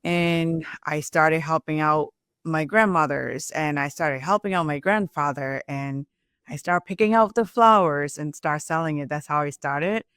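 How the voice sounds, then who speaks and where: A woman with a Burmese accent tells her personal story as an entrepreneur being interviewed. Her voice is clear and smooth, her phrasing simple and halting, and the sound is dry and close. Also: low voice, a bit bright, no echo. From Myanmar (Burma). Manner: non-native English with simple, halting phrasing. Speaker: restaurant owner and entrepreneur.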